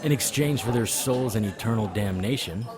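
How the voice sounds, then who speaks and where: A man's voice: fairly low pitch, normal speed, over music, lightly acted. An actor playing a hard-bitten male monster hunter, narrating noir-style in first person with dry, wry delivery. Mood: wary.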